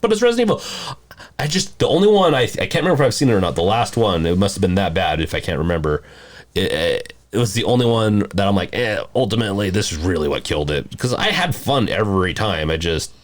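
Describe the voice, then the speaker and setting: A man's voice: fairly low pitch, big pitch swings, fast talker. A man chatting casually as a podcast co-host, rambling and self-deprecating.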